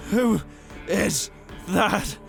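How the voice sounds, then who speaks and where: Man acting a gravelly, halting, wounded paladin. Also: high-pitched, fairly bright tone, full character voice. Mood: dazed. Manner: halting, gasping, stammering. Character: frightened, wounded male paladin, bewildered and faltering. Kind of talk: audio roleplay drama acting. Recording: music under the voice.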